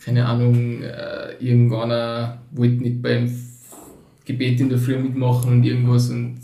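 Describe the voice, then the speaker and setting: A man's voice: medium pitch, monotone, unhurried, warm tone. A man in his twenties, born in Turkey and raised in Tyrol, speaking with a Tyrolean accent as a podcast guest. He talks reflectively and hesitantly, with many fillers. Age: 20s. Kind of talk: casual conversation. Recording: roomy echo, somewhat distant from the mic.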